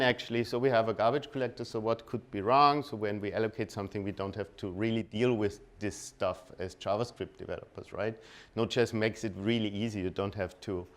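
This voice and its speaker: Man, Austrian accent, native German speaker, lowish voice, informal tech presenter, halting delivery with rephrasing. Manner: informal, halting, often rephrasing mid-sentence. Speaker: conference speaker. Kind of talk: technical presentation at a meetup.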